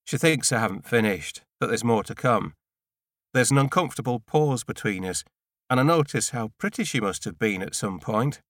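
A man's voice: mid-range voice, animated, normal speed, fairly bright tone, no room sound. Man narrating a novel, dry studio sound. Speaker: audiobook narrator. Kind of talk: scripted first-person novel narration.

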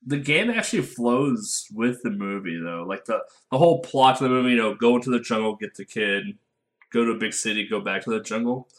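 A man's voice: medium pitch, animated, brisk. An American man co-hosting a podcast, chatting casually with lots of fillers and self-deprecating jokes. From United States. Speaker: podcast co-host.

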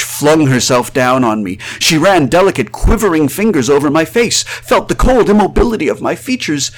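A man's voice: medium pitch, close to an everyday voice. A male actor playing a tormented spirit trapped outside his body, in a florid, old-fashioned melodramatic horror monologue. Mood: rising anguish. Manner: theatrical, florid, swelling from hushed to frantic cries.

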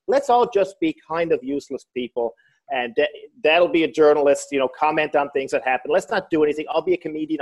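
A man, a comedian and author, telling joking stories in a casual interview. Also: on the high side. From Newfoundland. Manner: joking storyteller, slips into voices.

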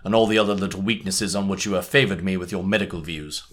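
Man reading as a cool detective, low voice, kinda husky, quietly in charge. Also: a little fast. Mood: dry amusement. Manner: quiet, clipped authority. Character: sharp, commanding middle-aged male detective.

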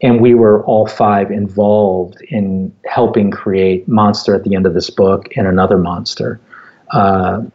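Low-voiced American man, dull recording. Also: fairly even intonation, a little slow. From born in Japan, grew up in the United States from age four. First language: Japanese. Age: middle-aged. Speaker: interview guest, app company founder. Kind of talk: conversational interview, anecdotal storytelling.

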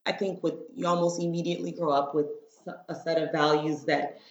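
Miami woman in her 30s, low voice, chatting as interview guest, echoey room, kinda dull audio. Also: flat delivery, a little fast, a little mellow. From Miami, Florida, United States. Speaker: interview guest, corporate lawyer. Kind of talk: casual conversational interview. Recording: roomy, dull lo-fi sound.

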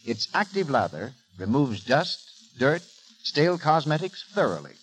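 A man announcing in scripted 1930s radio style, delivering a polished sales pitch. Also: big pitch swings, unhurried. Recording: noisy old 1930s radio recording.

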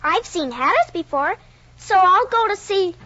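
A woman's voice: very high voice, expressive, a little slow, highs cut off, noisy background. A polite, wondering young girl. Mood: earnest. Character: polite curious girl lost in a strange land.

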